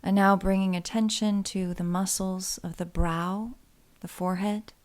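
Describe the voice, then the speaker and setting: A woman leading a guided body scan meditation in a soothing, gentle and unhurried way, leaving pauses between phrases. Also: medium pitch, fairly even intonation.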